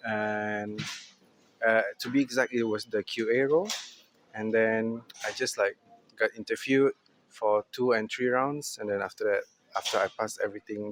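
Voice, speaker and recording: A man's voice: lowish voice, a little hiss or hum. A man from Indonesia answering interview questions at an office, talking casually with many fillers. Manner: hesitant, filler-heavy. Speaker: interviewee, moderation operations.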